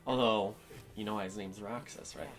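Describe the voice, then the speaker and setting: A man's voice: on the low side, steady tone, moderate pace. A man co-hosting a gaming show, making casual, playful banter and short agreeing replies. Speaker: co-host of a let's-play show. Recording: game music behind the voice. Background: video game music.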